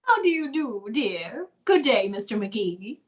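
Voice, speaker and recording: A woman's voice: a little high, very animated, unhurried. A woman playing a haughty, well-bred society lady with formal, pompous diction in an old-time radio comedy. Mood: grand, disdainful.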